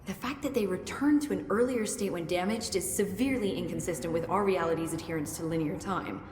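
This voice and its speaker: An actress playing a brisk, curious research scientist with dry wit in a video game, thinking aloud analytically. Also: female voice, fairly low pitch, darkish tone, close to an everyday voice. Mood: fascinated. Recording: echoey hall with faint noise.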